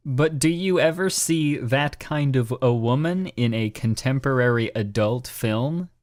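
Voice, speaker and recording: A man with a mid-pitched voice co-hosts a comedy podcast. He speaks slowly, sounding sarcastic and exasperated as he reads and comments mockingly. The sound is close and dry. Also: no room sound. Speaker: podcast co-host. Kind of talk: mocking dramatic reading and casual commentary.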